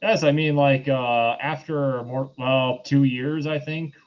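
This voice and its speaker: A man hosting a casual film review discussion, speaking in a halting, hesitant way. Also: mid-range voice, steady tone, dull audio. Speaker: podcast host.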